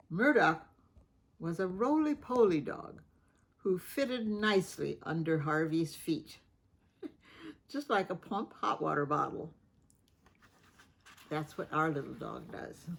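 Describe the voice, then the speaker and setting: Slow, husky woman reading a picture book. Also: deep voice, lively intonation. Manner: gentle, chatty, pauses to ask the listener questions. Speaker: storybook reader.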